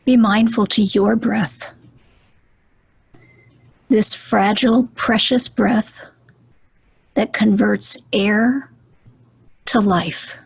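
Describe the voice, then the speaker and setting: A woman leading a guided meditation in a soft, breathy voice, gentle and soothing, with deliberate pauses. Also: medium pitch, fairly even intonation, no echo, rough recording.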